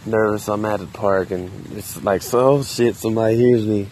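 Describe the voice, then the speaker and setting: A man with a very deep voice, speaking low and halting, as if worried someone might overhear. He rambles loosely and swears casually. He is recording outdoors, with faint traffic somewhere behind him. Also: fairly even intonation, poor audio. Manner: quiet, subdued, hesitant with many restarts. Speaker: vlogger. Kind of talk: casual solo monologue. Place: outdoors in a park.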